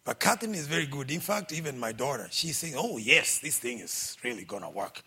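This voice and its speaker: A middle-aged man with a Kenyan accent, preaching a sermon in a lively, exhorting way and calling out for amens. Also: mid-range voice, a little fast, slightly rough. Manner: lively, exhorting, calls out for amens, quotes scripture.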